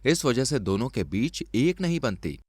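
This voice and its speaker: A male storyteller narrates a scripted cartoon tale. His medium-pitched voice moves with very lively intonation, and the recording is dry and close. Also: normal speed, breathy edge, dry recording.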